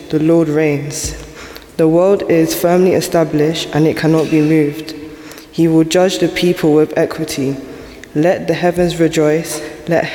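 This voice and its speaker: A man reading scripture aloud in a church service at a steady, even pace. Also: fairly high pitch. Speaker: scripture reader. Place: large church. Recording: echoey church with long reverb and faint room noise.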